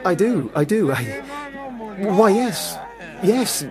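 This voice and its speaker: An actor playing an eager, impressionable Victorian gentleman poet in a radio drama, agreeing in hesitant, stammered bursts. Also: sits high, animated, slow pace, full character voice. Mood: flustered, fervent. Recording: music under the voice.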